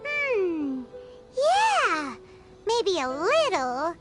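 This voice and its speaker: A woman voicing a tiny, excitable girl fairy companion in a video game, squeaky and childlike, indignant and bossy. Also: extremely slow, heavily acted. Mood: curious, earnest. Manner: exclamatory, speaks of herself in third person. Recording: game music behind the voice.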